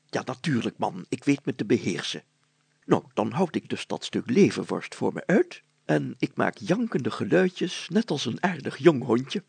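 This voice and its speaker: A man's voice: medium pitch, very animated, normal speed, creaky, a little mellow, slightly rough, no room sound, full character voice. A man voicing a pompous, gullible, greedy older village mayor in a storybook reading, chatty and full of himself. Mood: blustery.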